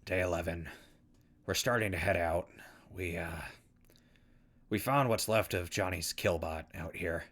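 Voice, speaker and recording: An actor playing a weary but caring wasteland ghoul who hosts a radio show, talking in casual, sardonic asides in a radio drama. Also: male voice, deep voice, a little slow, pauses often, a bit bright, dry recording. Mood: weary, matter-of-fact. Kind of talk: post-apocalyptic radio drama.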